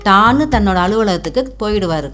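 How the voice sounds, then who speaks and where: A woman's voice: mid-range voice, a little fast, a bit bright, soft background music. A woman storyteller delivering scripted narration for a folktale.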